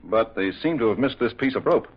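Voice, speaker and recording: Loyal buddy of a sleuth, lively delivery, bit raspy, dull old radio sound. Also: male voice, fairly low pitch, fairly quick. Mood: probing. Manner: earnest, insistent, friendly. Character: earnest, loyal male sidekick to a priest detective. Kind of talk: old-time radio drama acting. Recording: muffled old radio recording.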